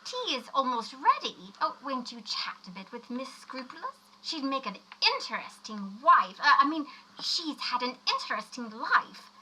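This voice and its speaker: A woman's voice: sits high, sing-song, moderate pace, sharp tone, slight background noise, exaggerated voice, poor audio. A woman playing a fussy, scheming matchmaking mother in a comic radio play, gushing with affected gentility. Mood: coaxing.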